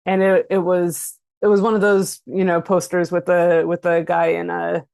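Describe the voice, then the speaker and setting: Creaky-voiced woman chatting in an interview. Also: fairly low pitch, little pitch movement, a little mellow. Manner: halting, self-interrupting, thinking aloud. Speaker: author being interviewed. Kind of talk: casual interview chat.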